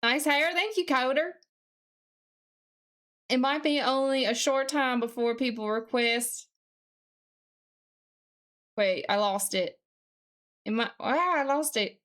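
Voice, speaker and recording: A woman with a distinctly high voice chats casually on a live stream. She puts on a Southern US accent and talks in a folksy, mildly sweary manner as she works at her computer. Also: slow pace, pauses often, fairly bright tone. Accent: put-on Southern US.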